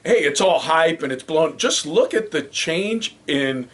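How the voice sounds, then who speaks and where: A Cuban man whose first language is Spanish, co-hosting a casual sports talk show and pressing his points with animated, climbing emphasis. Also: on the high side, a little slow. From Cuba. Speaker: co-host.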